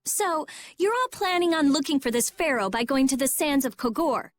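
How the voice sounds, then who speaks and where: A woman voicing a blunt, skeptical teenage girl in an animated role-playing video game, dry and sarcastic. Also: sits high, moderate pace. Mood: sarcastic, impatient. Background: faint background music.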